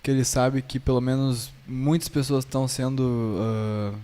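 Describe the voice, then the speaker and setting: A man's voice: mid-range voice, flat delivery, a little slow, dark tone. A man in a casual podcast chat, explaining things loosely and informally. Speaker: podcast panelist.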